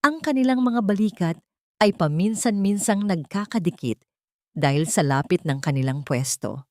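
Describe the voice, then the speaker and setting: A woman's voice: on the low side, lively intonation, normal speed, dry recording, clean studio sound. A woman narrating a scripted dramatic story as its storyteller.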